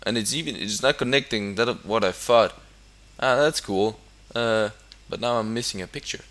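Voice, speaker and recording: A man's voice: lowish voice, normal speed, fairly bright tone. A young man from Denmark with a Danish accent, commenting casually on a building game. English is not his first language, and he hesitates with many fillers and restarts. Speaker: gamer.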